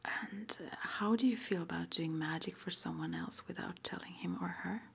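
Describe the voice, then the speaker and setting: A woman whispering breathily in an ASMR roleplay, gently and hesitantly asking questions like an interviewer. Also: on the low side, moderate pace. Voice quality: breathy whisper, mostly unvoiced.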